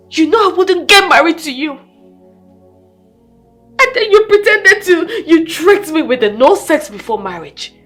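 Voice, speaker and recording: A betrayed young wife in a film drama. Her very high, tearful voice swings widely in pitch and comes slowly and bitterly, over background music. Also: a bit bright. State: crying, tearful. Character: betrayed newly married wife, bitter and anguished. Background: music behind the voice.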